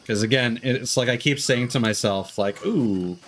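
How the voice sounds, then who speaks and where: A man giving casual livestream commentary on a video game, rambling and thinking out loud as he plays. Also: medium pitch, moderate pace, a little hiss or hum. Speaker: live streamer playing a video game.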